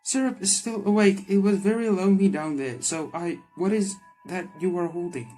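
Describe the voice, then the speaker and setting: A man's voice: high voice, normal speed, mellow, pitched above the performer's own voice, natural voice, rough recording. A man voicing a naive, literal-minded candy golem girl in a video game, with stiff, formal phrasing and music behind. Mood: anxious, earnest. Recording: background music under the voice.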